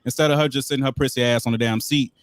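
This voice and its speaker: A young man hosts a live show, trading blunt, profane critiques with jokes mixed in. He talks fast, and his voice is dry and close, with no room sound. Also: mid-range voice, steady tone, clean tone, dry recording. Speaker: show host.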